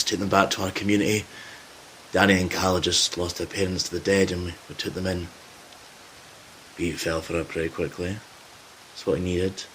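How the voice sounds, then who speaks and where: A man playing a gruff, loyal zombie apocalypse survivor in an audio drama, talking informally and hesitantly with some swearing. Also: low voice, a little hiss or hum, close to an everyday voice, rough recording.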